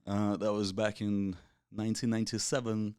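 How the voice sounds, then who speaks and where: A man, Russian-born, talking in a low, deep voice. His speech is loose and peppered with slang and swearing. The sound is close and dry. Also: flat delivery, moderate pace, a little mellow, clear, resonant tone, no echo. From Russia, raised in England. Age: 40s. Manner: laid-back, swears freely, British slang. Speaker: guest. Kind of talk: casual interview chat.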